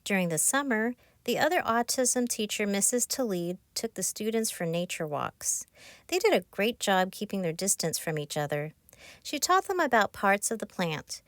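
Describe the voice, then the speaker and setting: A woman narrating a children's picture book, reading aloud in simple, clear phrases for young listeners. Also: mid-range voice, very animated, normal speed, fairly bright tone, no room sound, clean studio sound. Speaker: author narrating her own children's book. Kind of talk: scripted children's storybook narration.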